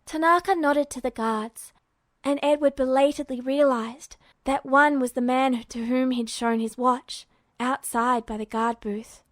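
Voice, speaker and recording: A woman's voice: sits high, fairly even intonation, measured pace, fairly bright tone, dry recording. A woman reading a scripted story aloud as narrator.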